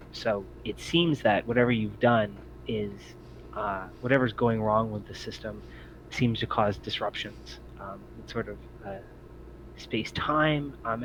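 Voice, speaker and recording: A man with a fairly low voice runs a tabletop roleplaying game as game master. He narrates haltingly, working out descriptions as he speaks. Faint noise sits behind him. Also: rough recording. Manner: halting, thinking aloud.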